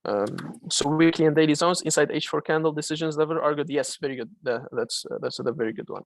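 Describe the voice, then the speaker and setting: A man with a Malaysian accent runs a live trading Q&A and chats loosely, with fillers and restarts. His voice sits a bit high and carries a gravelly rasp. The sound is dry and close. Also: quick pace, no room sound. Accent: Malaysian English. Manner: casual, chatty, many fillers and restarts. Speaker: trading mentor.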